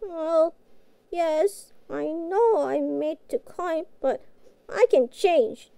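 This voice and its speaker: A woman playing a childlike, guilt-ridden catgirl pet in a fantasy audio roleplay, speaking in halting, pleading, naive phrases. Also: extremely high pitch, vocal fry, warm tone, dry recording, heavily acted. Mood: earnest, unsure.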